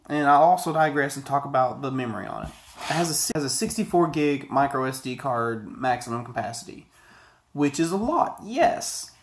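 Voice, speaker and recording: A man in his thirties giving a casual, rambling, conversational product review to camera, often digressing. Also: on the high side. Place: small indoor office, sitting at a desk.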